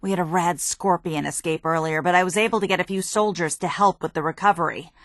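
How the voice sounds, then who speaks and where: An actress playing a dedicated, drily impatient military research scientist in a video game, precise, matter-of-fact and slightly prim. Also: female voice, on the low side, fairly even intonation, fast talker, no room sound, clean studio sound, close to an everyday voice. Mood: businesslike. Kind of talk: video game character dialogue.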